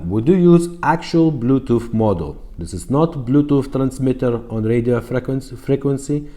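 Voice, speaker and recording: A man presents a product in an easygoing, informal way. His voice is mid-pitched and soft-edged, with a dark, mellow tone. He often tags his points with a quick check-in to the listener. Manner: informal, checks in with "okay?" and "right?". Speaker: presenter and car radio retrofitter. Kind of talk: casual product demo talk.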